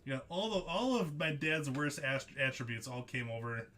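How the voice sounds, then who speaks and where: A man's voice: medium pitch, animated, moderate pace, sharp tone, a little hiss or hum. A young man hosting a live trading-card break stream, chatting casually with viewers by name, laughing often as he reads out the cards he pulls.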